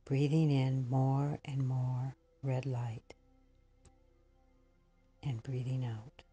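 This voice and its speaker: A woman leading a slow guided meditation in a breathy, husky voice that often nears a whisper, pausing between short phrases. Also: extremely low pitch, little pitch movement, dark tone, muffled recording, faint music behind.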